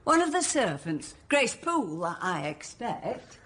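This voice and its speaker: A woman's voice: medium pitch, big pitch swings, slow, fairly bright tone, a little hiss or hum. An actress playing a kindly, chatty, motherly elderly housekeeper in a radio drama. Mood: reassuring. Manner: kindly, fussing, talkative.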